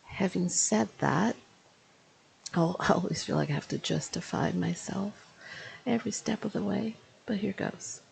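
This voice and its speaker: A woman in her fifties, an English teacher, giving a spoken video review in a deliberate, explanatory way and slowing down to stress key phrases. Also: lowish voice, creaky, a little mellow, slight background noise, poor audio. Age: 50s.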